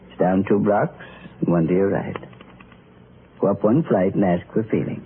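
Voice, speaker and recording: An actor playing a courteous, sly pawnshop keeper in an old radio drama, speaking formally and with insinuation. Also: male voice, sits low, moderate pace. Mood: smooth, insinuating. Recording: noisy, narrow-band old radio recording.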